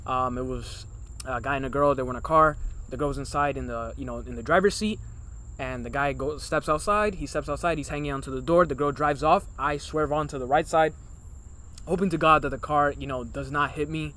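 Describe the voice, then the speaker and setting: A man's voice: on the high side, brisk, sharp tone. A man in his early twenties from Miami, co-hosting a podcast in loose, rambling casual chat that keeps calling out to the viewers. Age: early 20s. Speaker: podcast co-host.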